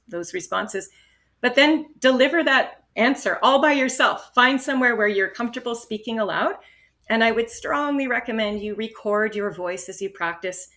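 Steady, encouraging woman test-prep instructor. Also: medium pitch. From Canada. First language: English. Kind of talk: instructional podcast talk.

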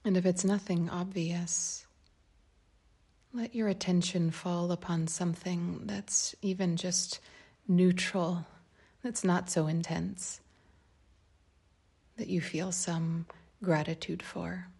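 A woman guides a meditation in a low, mellow voice with a soft creak. She speaks gently and calmly, leaving space between phrases, her breathy tone often thinning toward a whisper. The melody stays very even throughout. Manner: calm, unhurried, gentle phrasing with long pauses.

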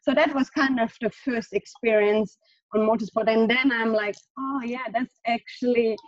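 A woman's voice: a little high, fairly even intonation, normal speed, no room sound. A young woman with a German accent, answering interview questions in a hesitant way with many restarts. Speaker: guest engineer. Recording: dull lo-fi audio.

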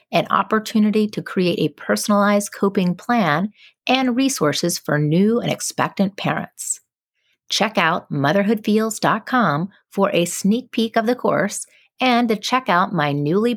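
A woman's voice: mid-range voice, dry recording, clean studio sound. A woman hosting a podcast, speaking in a scripted presenter style and interviewing guests. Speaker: podcast host and psychologist.

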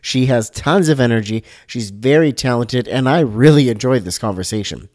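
A man's voice: medium pitch, moderate pace, a little mellow, no echo, clean studio sound. A man hosting a design podcast, upbeat and enthusiastic as he introduces and interviews a guest. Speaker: podcast host.

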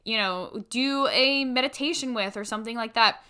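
A woman's voice: fairly high pitch, normal speed, sharp tone, clear, resonant tone. A woman hosting a podcast, introducing and interviewing in an upbeat, enthusiastic way. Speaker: podcast host.

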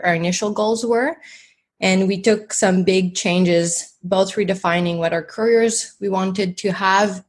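A woman in her thirties from Montreal with a Québécois French accent, French being her first language, speaking as a dietitian guest in a relaxed, thoughtful way. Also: on the low side. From Montreal, Canada. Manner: thoughtful, with frequent fillers and restarts. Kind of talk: casual interview conversation.

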